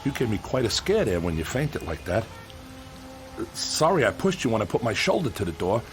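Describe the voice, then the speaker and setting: An actor playing a gruff but kind-hearted demolition contractor in a radio drama, with an American accent and colloquial, earnest, insistent working-man speech. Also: fairly low pitch, very animated, moderate pace, over music. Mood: apologetic, concerned.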